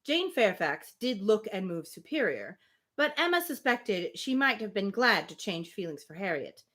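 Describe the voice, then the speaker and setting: A woman reads a novel aloud for a live stream. Her mid-pitched voice moves in lively swings, and she keeps an informal, expressive manner between the text and her own asides. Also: normal speed. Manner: expressive, informal, breaks off to react. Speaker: reader hosting a livestream reading.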